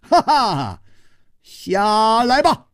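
A man's voice: on the high side, big pitch swings, slow pace, darkish tone, dry recording, studio quality, voice pushed up, heavily acted. A man voicing a witty male swordsman hero who laughs, sighs and banters. He speaks his lines in a raised, lighter voice, playful and wry. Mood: jovial teasing.

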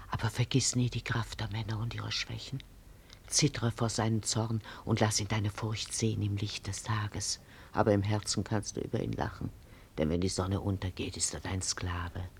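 A woman playing a vengeful, hard older mother in a radio play, intense and commanding, in a hoarse, breathy half-whisper. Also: very deep voice, fairly even intonation, moderate pace, a little hiss or hum. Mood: scornful urging.

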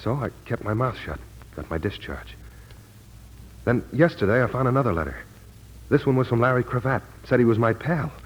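A man's voice: medium pitch, lively intonation, normal speed, natural voice, poor audio. A man playing a guarded, weary, self-doubting war veteran in a 1940s radio drama, with terse, downbeat speech. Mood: troubled, confessional.

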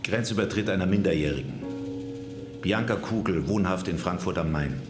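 An actor playing a brusque, official border customs officer who is dryly obliging, in a radio play. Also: male voice, low voice, normal speed, roomy sound, over music, close to an everyday voice. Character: gruff but helpful male Swiss border customs officer.

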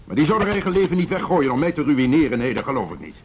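A man's voice: on the high side, very animated, very fast. An actor in a radio play playing a defiant, blustering businessman, belligerent and sneering, who stammers when cornered. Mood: defensive, scornful. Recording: faintly noisy radio drama recording.

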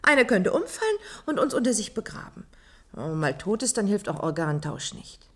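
A young woman of a future society in a German science-fiction radio play. Her husky voice moves very freely in pitch. She is skeptical, fond of comfort and playfully doubting. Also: sing-song, fairly quick, a bit bright. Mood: worried, dismissive.

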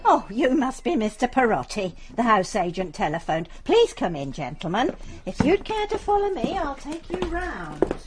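An actress playing a chatty, deferential, long-serving English maidservant in a radio drama, older and homely in manner. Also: female voice, a little high, very animated, moderate pace, background noise. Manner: gossipy, respectful, homely.

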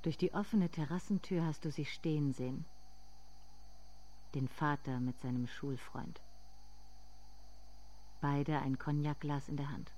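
An actress playing a somber narrator in a literary radio drama, speaking in measured, deliberate phrases. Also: female voice, sits low, steady tone, mellow, a little hiss or hum. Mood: quiet, reflective.

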